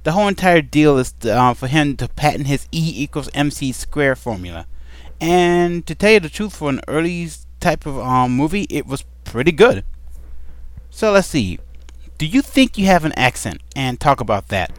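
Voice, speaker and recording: A man's voice: on the high side, big pitch swings, normal speed, dry recording. A man chatting casually at home, answering a list of questions with many ums and a playful, rambling manner. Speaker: vlogger answering a question tag. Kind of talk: casual chat. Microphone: desk microphone.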